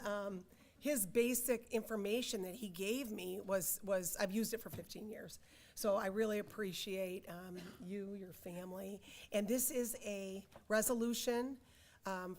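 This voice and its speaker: An older woman with a hoarse voice, a county commissioner giving a halting, chatty speech at a dedication ceremony. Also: medium pitch, a little hiss or hum. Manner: chatty, halting with fillers and restarts.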